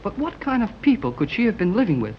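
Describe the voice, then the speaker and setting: An eager young fellow who jumps in with boyish enthusiasm, his voice high and lively. It comes through a dull, faintly hissy 1930s radio recording. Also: fast. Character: eager young male radio helper, excitable.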